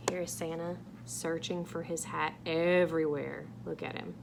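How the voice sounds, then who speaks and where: A woman reading a picture book aloud to young children in a playful, animated way, asking exaggerated wondering questions. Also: low voice, normal speed, creaky, background noise. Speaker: children's read-aloud host.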